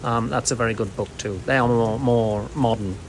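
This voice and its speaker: A man's voice: medium pitch, fairly even intonation, normal speed, slight background noise. A middle-aged British man giving thoughtful, explanatory answers in a conversational interview. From United Kingdom.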